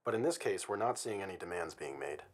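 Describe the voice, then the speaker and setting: A man's voice: sits low, animated, fast talker, vocal fry, exaggerated voice. A man playing a serious, guarded crisis negotiator in an audio-drama radio interview, speaking formally and analytically. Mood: measured, concerned. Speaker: guest expert, hostage negotiator.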